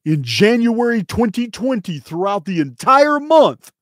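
A middle-aged American man hosts a solo presentation in a somewhat high-pitched voice. He speaks slowly and emphatically, breaking his commentary into short, deliberate phrases. The sound is close and dry, from a microphone right by him. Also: very animated, fairly bright tone, dry recording. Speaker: video host and presenter. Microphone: close microphone on boom arm.

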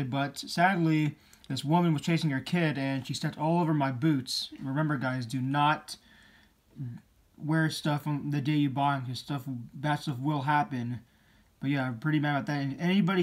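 A teenage boy hosting a sneaker channel, talking casually and rambling a bit as he shows his shoes, recorded on a camera mic in a room indoors. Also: fairly high pitch. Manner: chatty, upbeat, rambling. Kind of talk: casual vlog show-and-tell.

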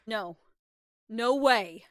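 A reluctant, conscientious accomplice in an audio crime drama. She speaks slowly, in a fairly high voice. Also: animated, a bit bright, dry recording, studio quality, full character voice. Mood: protesting. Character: reluctant, conscientious woman caught up in a robbery.

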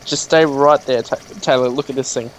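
A man's voice: mid-range voice, fairly even intonation, brisk, slight background noise, poor audio. A young man with an Australian accent, chatting casually and joking while playing a co-op game. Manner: joking, laughs often. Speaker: gamer. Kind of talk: casual co-op gaming chat.

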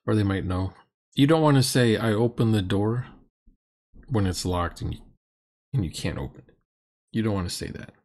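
A man's voice: fairly low pitch, moderate pace, pauses often, a little mellow. A middle-aged man giving critical, sarcastic commentary on a roleplaying game in a grumbling, mocking way. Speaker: commentator reacting to a recorded roleplaying session.

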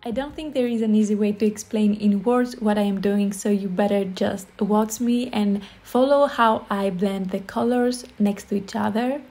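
A woman's voice: medium pitch, moderate pace, hardly any pauses, mellow, faint music behind. A young woman from Greece with a Greek accent, narrating a watercolor tutorial in a friendly, enthusiastic, chatty way. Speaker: watercolor art instructor. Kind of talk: voice-over painting tutorial narration.

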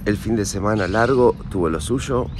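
A man's voice: fairly low pitch, normal speed. A man in his forties with an Argentine accent, talking casually and confidingly to his viewers while walking the city streets, filmed on a phone held at arm's length. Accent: Argentine Spanish. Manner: chatty, confiding, talks straight to the viewers. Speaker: vlogger. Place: outdoors on city streets and in a park, then at a café. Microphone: phone camera held at arm's length. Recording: phone audio with clear street noise. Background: street and traffic noise.

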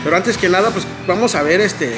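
A man's voice: high-pitched, brisk, music in the background. A man in his thirties with a Mexican accent, hosting a casual vlog chat in a café, joking and swearing often. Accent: Mexican Spanish. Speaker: vlogger host. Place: indoor café at night.

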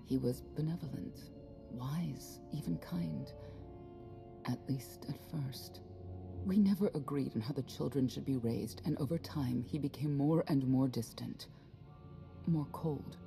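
A middle-aged woman playing a regretful, proud warrior mother in a video game, speaking in a measured, wistful way. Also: a bass voice, gravelly, full character voice. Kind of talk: dramatic video game acting. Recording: music under the dialogue.

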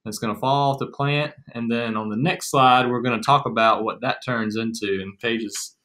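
Young American male, casual agricultural educator, bright forward voice, mid pitch. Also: fairly even intonation, moderate pace. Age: young adult. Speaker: agriculture extension agent, co-presenter. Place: small room at home, indoors.

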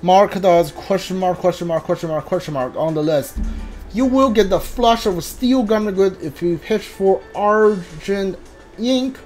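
A gamer giving casual, hesitant commentary on a playthrough, often muttering to themselves in a halting way. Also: medium pitch, a little mellow. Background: video game music.

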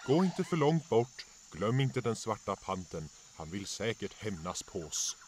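A man's voice: on the low side, big pitch swings, a little mellow, a little hiss or hum. A man voicing a stern lion king and strict father in a cartoon fan dub, authoritative and scolding. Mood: worried.

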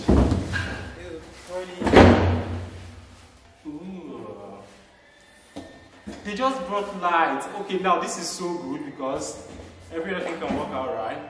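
A young man with a Nigerian accent chatting loosely in a casual home vlog, excitable and trailing off with ums. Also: medium pitch, heavy background noise. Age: young adult. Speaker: vlogger. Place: small bare room at home, indoors. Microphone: lapel mic. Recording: echoey room with music underneath.

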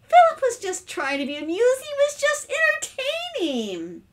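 A woman voicing a gentle, indulgent mother who soothes and placates in a children's storybook. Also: fairly high pitch, big pitch swings, a little slow. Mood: placating. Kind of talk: children's storybook read-aloud.

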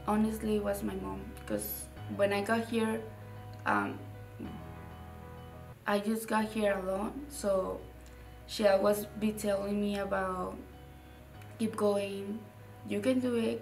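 Young woman with a Mexican Spanish accent, halting. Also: medium pitch, gravelly, a little mellow, echoey room, recorded from a distance, soft background music, rough recording. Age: young adult. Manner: halting, repeats words, many fillers. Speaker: graduating student. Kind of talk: interview answers. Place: indoor studio with dark curtain.

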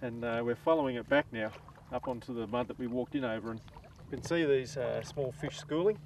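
A man presenting a fishing show in shallow water on tidal flats, explaining his gear in a relaxed way. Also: mid-range voice, fairly quick. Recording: distant outdoor sound with clear wind and water noise.

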